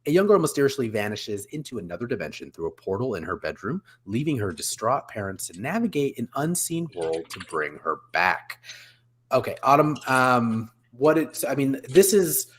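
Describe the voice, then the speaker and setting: A man in his forties hosting a casual panel discussion over a video call, talking in a halting, meandering way and often correcting himself. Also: mid-range voice, very animated. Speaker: host. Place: small room at home, video call.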